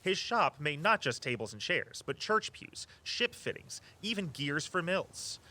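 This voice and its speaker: An actor playing an eager, earnest walking-tour guide who tells local history with a storyteller's flair. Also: male voice, on the high side, very animated, measured pace, pushed and bright, exaggerated voice. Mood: theatrical, storytelling. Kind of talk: audio drama dialogue, storytelling tour patter.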